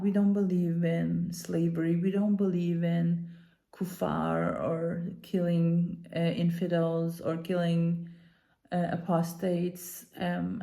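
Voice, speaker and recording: Mellow-voiced woman from Iran, earnest and halting. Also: lowish voice, gravelly. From Iran, moved to Denmark as a child. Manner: earnest, halting, deliberate emphasis. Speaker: commentator, activist speaker. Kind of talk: talking to camera, unscripted opinion commentary.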